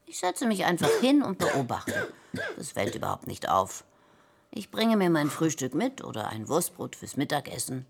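An actress playing a bored, eccentric emergency-room onlooker, chatting matter-of-factly in a radio play. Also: female voice, deep voice, wildly swooping pitch, moderate pace, vocal fry, a crowd in the background, heavily acted. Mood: chatty, self-satisfied. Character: bored eccentric woman who watches emergency rooms as hobby.

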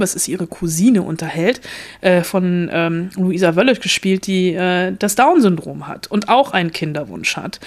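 Chatty woman reviewing a series. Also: lowish voice. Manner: rambling with frequent fillers and restarts. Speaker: film critic podcast co-host. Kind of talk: casual chat, reviewing a series.

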